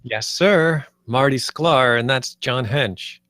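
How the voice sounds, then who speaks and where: A man's voice: mid-range voice, slow pace, bright, forceful tone, dry recording, studio quality. A man playing an eager, nervous theme park designer in a script table read, sounding like a slightly hesitant salesman. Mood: upbeat.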